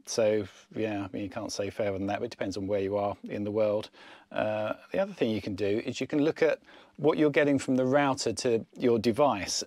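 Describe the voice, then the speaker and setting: A man's voice: fairly low pitch, darkish tone, dry recording. A man in his fifties giving a casual, informal explanation to camera on a lapel mic, thinking aloud as he goes. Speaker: sailing vlogger.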